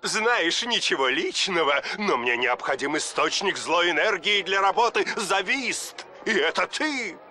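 Give me an arrogant cartoon villain scientist, high and slow, with music behind. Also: male voice, lively intonation, bright, forceful tone, heavily acted. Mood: scheming. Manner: gloating, bossy, drawn-out. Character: scheming arrogant male mad scientist villain. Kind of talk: video game dub.

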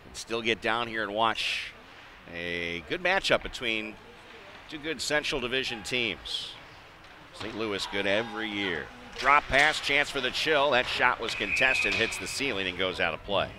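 An American man doing live radio-style play-by-play hockey commentary, measured in routine play and excitable on big plays. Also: on the low side. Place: indoor ice arena. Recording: noisy live rink broadcast.

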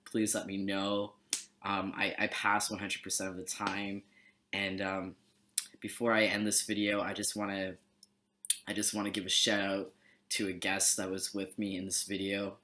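A man's voice: low-pitched, completely flat delivery, normal speed, bright, forceful tone. A young man from Canada chatting casually and jokingly on a webcam in a small bedroom, laid-back and mumbling at times. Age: young adult. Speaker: vlogger. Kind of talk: casual chat.

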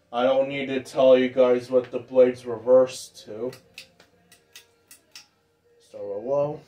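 A man giving a casual, informal hobbyist demonstration in an unhurried, rambling way. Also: mid-range voice, a little mellow. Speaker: ceiling fan hobbyist vlogger. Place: indoor room with exposed pipes and ductwork.

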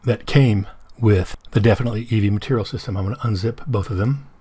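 A middle-aged man with a hoarse voice, explaining a software tutorial step by step over his screen recording. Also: on the low side, fast talker. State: hoarse from medication.

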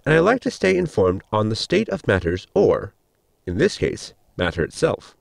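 A man's voice: on the low side, wildly swooping pitch, vocal fry, dry recording, full character voice. An actor playing a polite, precise spaceship science crewman in a comedy audio drama, speaking formally and earnestly. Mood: earnest, explanatory. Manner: formal, measured, explanatory.

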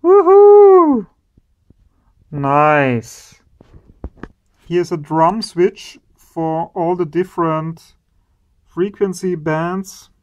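A man hosting an electronics teardown video, slowly and admiringly commenting on the parts he finds. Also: high-pitched, sing-song, narrow, tinny audio. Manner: slow, admiring, savoring each part.

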